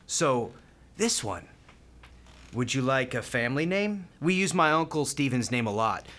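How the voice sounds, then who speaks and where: A man's voice: medium pitch, sing-song, a little slow, a bit bright. An actor playing a cheerful, kindhearted male hospital volunteer in a radio play, folksy, playful and talkative. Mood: warm, chatty.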